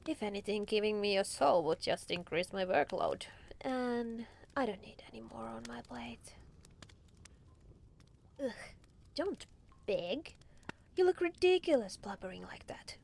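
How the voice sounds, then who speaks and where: A woman voicing a haughty, teasing demon lord in an ASMR roleplay, condescending and theatrical, with breathy murmurs. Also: on the high side, lively intonation, unhurried, pauses often, darkish tone, full character voice. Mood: bored, businesslike, then scornful.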